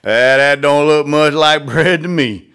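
A man's voice: fairly high pitch, slow pace, a bit bright, clean tone. A man voicing a folksy, skeptical storybook armadillo with a Southern US drawl.

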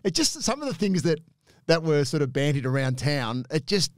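Brief: Australian male, 40s, retired footballer, animated storyteller, dry studio sound. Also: on the high side, moderate pace, dry recording. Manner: animated, laughs often, repeats words while thinking. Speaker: podcast guest, former footballer. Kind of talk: casual storytelling chat. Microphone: studio mic on a desk arm, headphones.

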